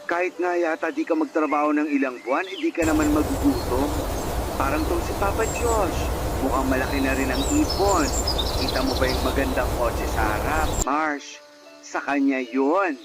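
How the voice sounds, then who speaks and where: A playful young guy acted by a man in an audio drama, prodding his friends with nosy, slangy banter. His voice sits a little high, over loud background music. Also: animated, moderate pace. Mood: playful teasing. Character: teasing, nosy young male friend at a drinking session.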